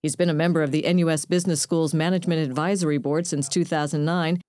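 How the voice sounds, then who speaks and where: Brief: woman news reporter, low mellow voice, calm scripted voice-over. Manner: measured, even reading.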